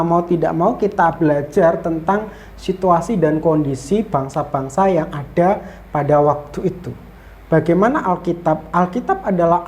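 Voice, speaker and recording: A woman's voice: on the low side, dark tone. A woman giving an informal lecture as a Bible teacher, explaining point by point and repeating herself with small check-in tags. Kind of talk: informal lecture explaining Old Testament introduction.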